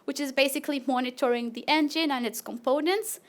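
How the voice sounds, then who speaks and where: High-voiced Latin American woman presenting. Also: brisk. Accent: Latin American Spanish. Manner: friendly and animated, with small fillers and restarts. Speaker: PhD researcher presenting. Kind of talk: live PechaKucha talk to an audience.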